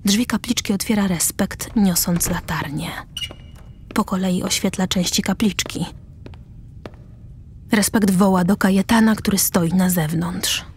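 A woman's voice: mid-range voice, measured pace, long pauses, a little hiss or hum. A woman reading stage directions as the narrator of a scripted radio play.

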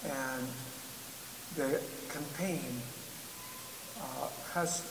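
Older male church leader, slow and measured, recorded from a screen in a reverberant church. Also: medium pitch. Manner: slow, measured, with pauses and fillers. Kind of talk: recorded video message. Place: video played on a screen in a large church. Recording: echoey church hall with hiss.

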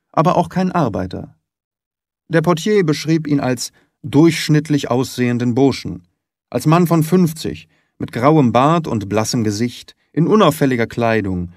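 A man reads a detective story as an audiobook narrator. His delivery is measured but lively, with a wide melodic range. The pitch is medium and the sound is close and dry. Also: no room sound.

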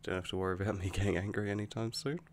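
A man playing an affectionate, protective, easily angered young werewolf boyfriend in an intimate ASMR roleplay, with a hesitant, halting delivery. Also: on the low side, fairly even intonation, a little hiss or hum, close to an everyday voice. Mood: relaxed, affectionate. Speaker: roleplay audio performer.

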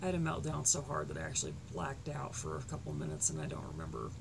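A woman's voice: a bass voice, sing-song, quick pace, vocal fry. A woman in her thirties with a scratchy voice, talking in a rambling, hesitant way with many ums, filmed on a webcam in a small bedroom. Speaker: artist vlogging to supporters. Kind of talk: casual unscripted update. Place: small cluttered bedroom at night. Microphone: webcam at desk. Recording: noisy home webcam audio.

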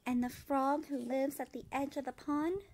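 A woman's voice: sits high, fairly even intonation, normal speed, darkish tone, voice pushed up. A woman voicing a small, sulky, self-doubting young male cricket in a storybook, plaintive and defiant. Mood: sad, plaintive.

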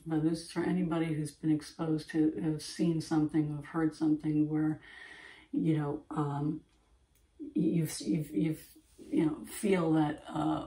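A woman in her fifties with a gravelly voice, earnestly rambling to camera in her home art studio. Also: low-pitched, dark tone, distant mic. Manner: earnest, rambling, repeats herself. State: throat irritation, clearing throat. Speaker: artist, channel host. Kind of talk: casual talk to camera. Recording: echoey room, voice from off-mic.

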